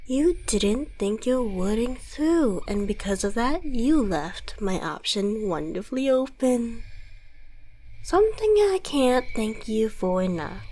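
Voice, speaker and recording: Woman playing a high-voiced, suave, gloating devil. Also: very animated, takes it slowly, mellow, full character voice, low-quality recording. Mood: smug, mocking. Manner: theatrical, taunting, condescending, articulate. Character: suave, gloating devil, centuries old, mockingly friendly, menacing. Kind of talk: scripted ASMR roleplay monologue.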